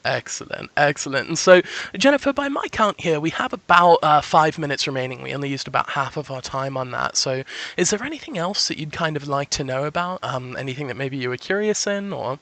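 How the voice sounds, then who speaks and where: A man's voice: on the high side, animated, brisk, bright, forceful tone, no room sound. A man giving a casual one-on-one psychic reading in a chatty, reassuring way, often checking that he is understood. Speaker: psychic reader.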